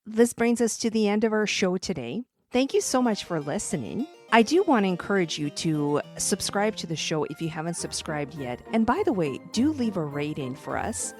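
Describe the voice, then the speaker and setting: A woman's voice: medium pitch, big pitch swings, moderate pace, hardly any pauses, a little mellow, soft background music. A woman hosting a children's storytime podcast, reading a scripted introduction and sign-off. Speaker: podcast host.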